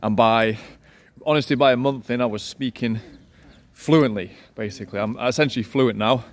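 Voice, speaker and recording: A man's voice: medium pitch, moderate pace. A man in his thirties, a language teacher, talking in a playful, self-assured way in a casual vlog recorded outdoors. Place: outdoors in a city street, by a wall.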